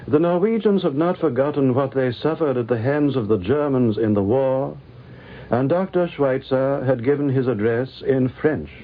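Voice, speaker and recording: A man narrates in a formal, literary style with a measured delivery and a mid-range voice. The recording is thin and phone-like, like an old 1950s radio broadcast. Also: medium pitch.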